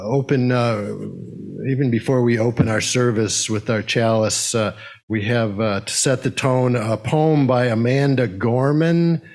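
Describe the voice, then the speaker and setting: A man leading a service, speaking in a halting way as he makes announcements and reads poetry to a congregation. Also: mid-range voice, a little mellow. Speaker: service leader and poem reader.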